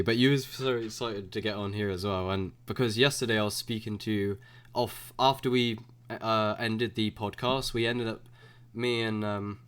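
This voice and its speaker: A man hosts a podcast in a loose, rambling way, full of slang and enthusiasm. His voice is somewhat low and relaxed in tone. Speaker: podcast host. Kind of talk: casual podcast chat.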